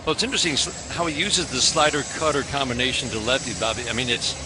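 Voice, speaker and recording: A man's voice: mid-range voice, fast talker. An American man calling a baseball game live on the radio as the play-by-play announcer. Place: outdoor ballpark broadcast booth. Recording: outdoor stadium ambience, clear background noise. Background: stadium ambience noise.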